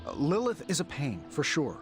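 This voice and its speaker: A man's voice: a little high, wildly swooping pitch, normal speed, music in the background. A man playing a cocky, sarcastic superhero in a video game, with a casual, wry manner. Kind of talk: video game cutscene acting.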